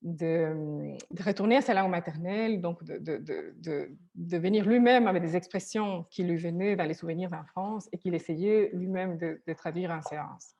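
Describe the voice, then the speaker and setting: A woman's voice: lowish voice, moderate pace, vocal fry, hardly any pauses, warm tone. A woman therapist whose first language is Spanish, speaking hesitantly with many restarts and fillers.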